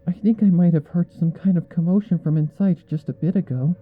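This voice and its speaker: A man's voice: high voice, a little fast, narrow, tinny audio, pitched above the performer's own voice. A man voicing a timid, hesitant young female pegasus pony in a fan fiction reading, meek in manner.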